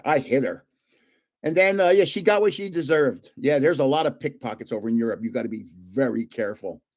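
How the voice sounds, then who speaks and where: Grandfatherly stream host, 70s, animated storyteller with a booming laugh-filled delivery and lively pitch. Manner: animated, laughs often, rambling anecdotes; self-described booming voice when yelling. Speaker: livestream host opening trading cards. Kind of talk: casual storytelling chat.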